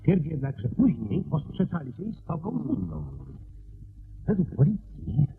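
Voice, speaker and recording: An actor playing a brisk, confident detective's assistant in a Polish radio play, reporting eagerly and matter-of-factly. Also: male voice, fairly high pitch, big pitch swings, creaky, a little hiss or hum, heavily acted. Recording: narrow phone-like band, old radio.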